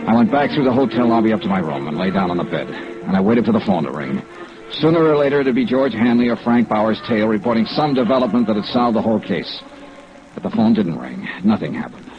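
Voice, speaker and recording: An actor playing a wry, weary, persistent insurance investigator in an old radio drama, with clipped hard-boiled delivery. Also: male voice, fairly low pitch, lively intonation, fast, over music, close to an everyday voice. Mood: terse narration. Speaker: lead detective and narrator. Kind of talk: 1950s radio drama acting, first-person narration. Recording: dull, band-limited old radio recording.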